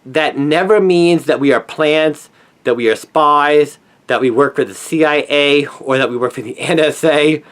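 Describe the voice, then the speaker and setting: Higher-pitched man, earnest and emphatic. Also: measured pace. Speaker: conspiracy commentator, former newspaper journalist. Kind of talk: scripted opinion monologue.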